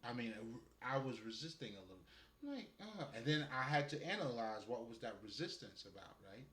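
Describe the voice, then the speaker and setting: A man's voice: mid-range voice, very animated, brisk, a bit bright, roomy sound. A man with a Haitian accent talking loosely and profanely about spirituality, laughing often. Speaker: spiritual guide. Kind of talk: casual spiritual monologue.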